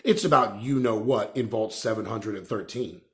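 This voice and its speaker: A man voicing a gruff, friendly giant with rustic, colloquial speech in a storybook reading. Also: on the low side, normal speed, a bit bright, lightly acted. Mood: hearty, important.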